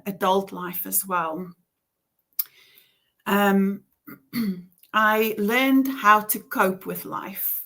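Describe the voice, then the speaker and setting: A woman with a heavy Afrikaans accent speaks slowly and reflectively, pausing often on ums. Her voice sits at a middle pitch for a woman. She tells her faith story in an earnest, searching way. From South Africa. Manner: earnest and reflective, with frequent ums and soft laughs. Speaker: interview guest. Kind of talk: personal faith testimony.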